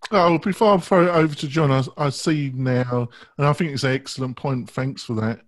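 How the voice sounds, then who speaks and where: A man in his fifties moderating a round-table in halting, deliberate phrases into a close microphone. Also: medium pitch, no echo. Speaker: host. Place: indoor room at home. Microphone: close boom-arm microphone.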